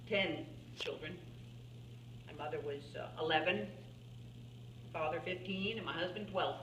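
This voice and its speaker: Casting: actress as elderly rural woman painter for a stage monologue; unhurried, folksy, wry, halting delivery, recorded in a roomy space. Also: lowish voice, a bit bright, exaggerated voice. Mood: subdued, wistful. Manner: halting, musing, with small chuckles and pauses. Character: elderly folksy farm-woman painter, plainspoken and wryly reminiscing. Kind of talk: theatrical monologue in character. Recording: roomy, distant mic, faint background noise.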